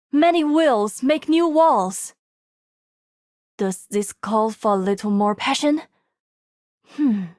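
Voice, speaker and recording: An earnest, formal game operator. She speaks very deliberately in a high voice, recorded dry. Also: dry recording. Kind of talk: game character voice line.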